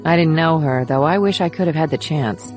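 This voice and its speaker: An actress plays an earnest, reflective woman operative in a thriller audiobook. Her voice sits on the low side, and she delivers the dialogue dramatically. Soft music runs faintly underneath. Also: brisk, natural voice. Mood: somber, reflective. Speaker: audiobook performer.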